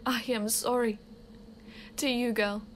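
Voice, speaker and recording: Woman playing a slow, solemn, remorseful cultist. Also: on the high side, little pitch movement, a little hiss or hum. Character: remorseful, solemn member of a deadly sisterhood. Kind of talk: audio drama acting.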